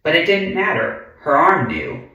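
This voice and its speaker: A man reading a children's book aloud in a deliberate way, adding casual, chatty asides and murmured mm-hmms. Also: on the high side, wildly swooping pitch, sharp tone, lo-fi sound. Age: adult. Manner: slow, deliberate delivery with frequent murmured mm-hmms. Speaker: reader of a children's picture book. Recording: very echoey room, far from the mic.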